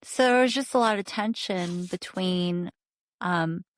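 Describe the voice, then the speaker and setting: A woman co-hosting a podcast, explaining ideas in a measured academic way and asking probing questions. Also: on the low side, dry recording. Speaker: podcast co-host. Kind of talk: academic interview conversation.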